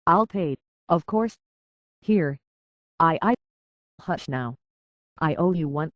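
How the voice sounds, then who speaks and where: Blunt, folksy island barkeep. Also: male voice, on the high side, animated, takes it slowly, no room sound, highs cut off. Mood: earnest, worried. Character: plain-spoken local island barkeep, worried about his kin. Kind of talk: video game character dialogue.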